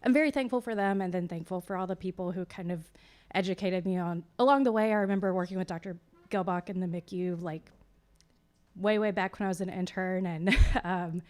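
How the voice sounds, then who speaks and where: A woman's voice: on the low side, a little mellow. A woman who is a graduating medicine-psychiatry resident, giving a casual, chatty thank-you speech at her graduation. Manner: chatty, self-described yapper.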